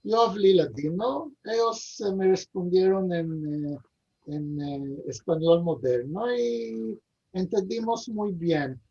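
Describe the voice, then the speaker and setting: Man, interview guest, slow halting speech, mellow voice, lo-fi video call sound. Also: high-pitched, slightly rough. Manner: halting, many fillers and repeated words. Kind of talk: hesitant conversational interview. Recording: dull lo-fi video call audio.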